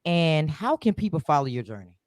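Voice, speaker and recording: Lively woman podcast host, close dry sound. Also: deep voice, a little fast, dry recording. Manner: emphatic, short affirming interjections. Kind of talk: casual interview chat.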